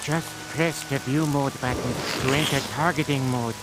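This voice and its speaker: A man's voice: medium pitch, steady tone, moderate pace, heavy background noise, lightly acted. A man voicing a gloomy, deferential, ponderous manservant of a haunted house in a cartoon game. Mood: formal. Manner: ponderous, formal. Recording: loud music behind the voice.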